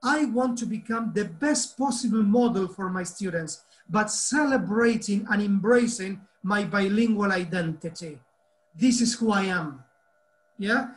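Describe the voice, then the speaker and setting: Man, Argentinian accent, high-pitched, earnest teacher trainer, video call. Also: a little slow. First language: Spanish. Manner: earnest and animated, asks the group questions. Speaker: teacher trainer hosting an online meeting. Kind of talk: casual talk to a video-call group.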